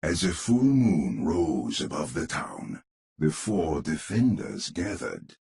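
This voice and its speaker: A grave gothic storyteller with a very deep, creaky voice. He narrates a horror tale for a game with measured, theatrical menace, his pitch swooping dramatically through each phrase. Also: sing-song, darkish tone, heavily acted. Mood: ominous, dramatic.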